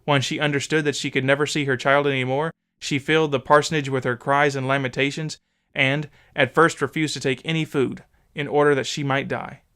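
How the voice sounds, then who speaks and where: Male narrator, medium pitch, smooth clear tone, solemn, dramatic, measured scripted reading. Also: a bit bright. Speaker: audiobook narrator.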